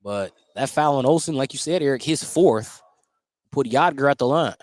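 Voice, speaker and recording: A man's voice: mid-range voice, animated, normal speed, no echo. A man doing live radio play-by-play of a basketball game, calling each play in clipped, detailed bursts. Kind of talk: live radio sports commentary. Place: indoor high school gymnasium.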